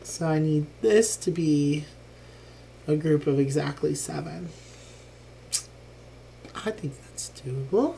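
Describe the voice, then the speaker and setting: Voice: medium pitch, slight background noise. A streamer casually talking through a live board game playthrough, thinking aloud with frequent ums and a lingering cough after being ill.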